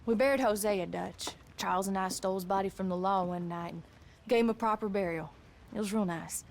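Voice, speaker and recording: A woman's voice: medium pitch, brisk, creaky, slight background noise, close to an everyday voice. A woman playing a hardened, sardonic American frontier outlaw in a video game, with a wry, plain-spoken manner. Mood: somber. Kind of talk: dramatic video game acting.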